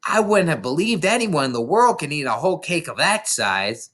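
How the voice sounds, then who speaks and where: A reader voicing young schoolgirls in a storybook, confiding in excited, hushed asides. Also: medium pitch, normal speed, pitched above the performer's own voice. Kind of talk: storybook character voice.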